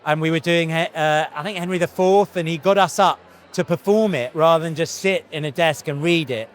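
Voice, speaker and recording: A man's voice: on the high side, moderate pace. A man in his fifties, an actor answering interview questions in a thoughtful, earnest way, often restarting and saying "you know". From Iran, raised in Britain. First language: Farsi.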